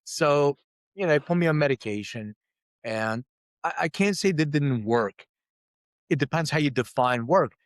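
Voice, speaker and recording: A man's voice: fairly high pitch, lively intonation, moderate pace, dry recording. Man, middle-aged, interview guest, candid, self-deprecating, dry close sound. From Lithuania, raised in Philadelphia. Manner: open, self-deprecating, acts out quoted voices. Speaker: guest, company CEO.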